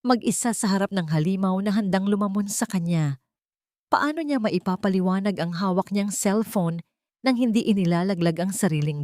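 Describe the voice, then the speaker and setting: A Filipino woman reads a dramatic audiobook story in a fairly low voice. The recording is dry and close, with very little room sound. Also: a little mellow, no room sound. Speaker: audiobook narrator. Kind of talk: dramatic scripted story narration.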